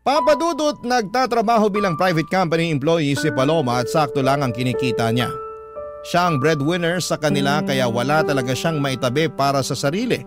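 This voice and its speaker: A man's voice: on the high side, close to an everyday voice. An actor with a Filipino accent playing an earnest, conscientious young boyfriend narrating his story in the first person for a radio drama. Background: loud music bed.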